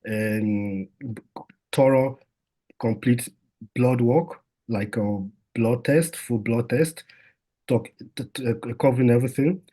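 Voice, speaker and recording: A man's voice: mid-range voice, dark tone, rough recording. A man, a doctor, giving an informal health talk in a conversational way, with lots of fillers and restarts.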